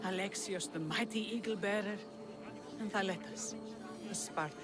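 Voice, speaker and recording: An actress playing an earnest young woman leading rebels in a game cutscene, soft and reflective. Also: mid-range voice, normal speed, lightly acted. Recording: game cutscene with loud music behind.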